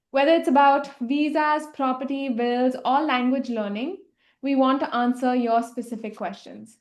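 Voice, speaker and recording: A woman's voice: high voice, a little mellow, reverberant. A woman hosting a live online question session, giving a polished, formal scripted introduction. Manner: polished, formal and welcoming, reading a prepared script. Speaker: host.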